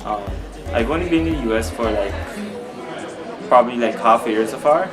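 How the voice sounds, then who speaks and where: A man's voice: medium pitch, expressive, brisk, crowd noise. A young man from Guyana, a student answering interview questions in a loose, rambling way and laughing often. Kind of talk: casual street interview. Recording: echoey, distant, music over it.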